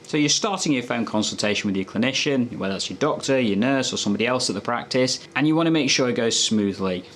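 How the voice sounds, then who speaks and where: A man's voice: mid-range voice, animated, quick pace, few pauses, a bit bright. Male presenter with background noise. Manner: conversational, instructive. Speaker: doctor presenting health tips. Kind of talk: presenter explainer to camera, addressing viewers.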